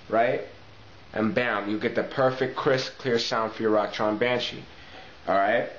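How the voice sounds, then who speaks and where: A man talks through an informal tutorial in a roomy, echoing space. His audio is slightly dull and lo-fi with faint noise underneath. He explains things step by step in a halting, casual way at a medium pitch. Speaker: talk box tutorial presenter. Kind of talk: casual instructional video talk. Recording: echoey room, muffled lo-fi audio, faint noise.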